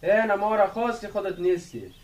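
An impatient village man in a radio drama, played by a man. His voice is high and very animated, with blunt, bantering prods. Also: low-quality recording. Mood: impatient calling.

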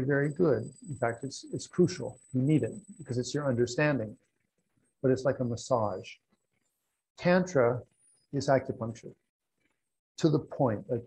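A man's voice: mid-range voice, creaky, mellow, husky, poor audio. An older man teaching a Buddhist class from a room at home, explaining at length with restarts and asides, on a lapel mic. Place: room at home with wall hangings.

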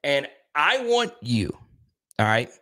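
A man in his thirties hosting a casual live stream, talking into a studio mic in a small room, animated and quick to repeat himself while reading out chat comments. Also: medium pitch. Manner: animated, repeats words, reads chat aloud. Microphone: studio mic on boom arm in front.

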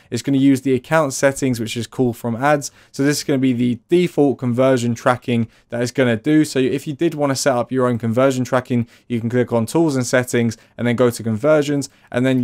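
A man's voice: medium pitch, darkish tone. A young man in his twenties, talking through a step-by-step screen tutorial in a conversational, explanatory way. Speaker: digital marketing tutorial host. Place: small indoor room at a desk.

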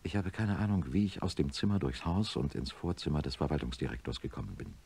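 A middle-aged professor of surgery telling his story in a radio play. His extremely deep, mellow voice is husky, and he narrates in a sober, measured manner. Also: animated, exaggerated voice. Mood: shaken. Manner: measured, matter-of-fact. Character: sober male neurosurgeon professor recounting his experiment. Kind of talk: first-person radio drama narration.

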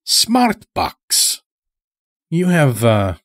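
A man's voice: fairly high pitch, huge pitch swings, extremely slow, fairly bright tone, no room sound, studio quality, pitched above the performer's own voice, full character voice. A man voicing a weary, sly old blinded werewolf elder with a folksy, rustic drawl in an audio horror story. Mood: wry, weary.

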